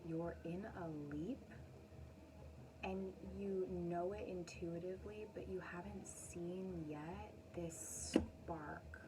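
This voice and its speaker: A woman doing a casual, spontaneous intuitive tarot reading, with dramatic, drawn-out phrasing and pauses. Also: lowish voice, steady tone, very noisy. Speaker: tarot reader.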